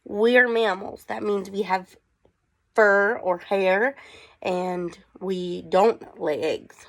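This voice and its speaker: A woman's voice: medium pitch, extremely slow, vocal fry. A woman teacher reading aloud to children, with chatty asides and questions for her young listeners.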